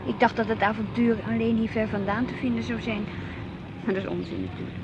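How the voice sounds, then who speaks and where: Actress as a high-voiced restless wife, radio drama. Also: female voice, steady tone, fairly quick, muffled recording, noisy background, close to an everyday voice. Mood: hopeful, reasoning. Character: restless married woman yearning for adventure, impatient with husband.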